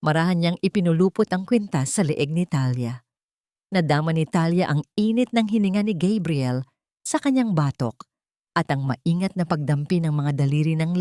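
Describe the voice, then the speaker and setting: A woman's voice: fairly low pitch, warm tone, no room sound, studio quality. A woman reading a dramatic story aloud as a scripted audiobook narration.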